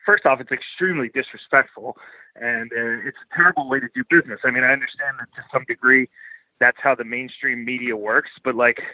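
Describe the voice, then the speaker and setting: A man's voice: medium pitch, a little fast, no echo. An American man from Philadelphia chatting casually as an interview guest, blunt, profane and self-deprecating. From Philadelphia, United States. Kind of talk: casual unscripted interview chat. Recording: thin phone-like line.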